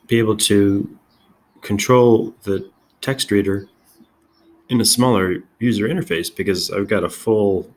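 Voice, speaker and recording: A man giving a casual software demonstration as a tech video host, halting and thinking aloud as he goes. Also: on the low side, a little hiss or hum.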